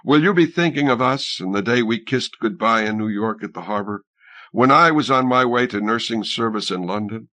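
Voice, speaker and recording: Guy narrating as a sad young drowned nurse, soft-hearted and weary, audiobook, no room sound. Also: mid-range voice, moderate pace, no echo, close to an everyday voice. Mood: wistful longing.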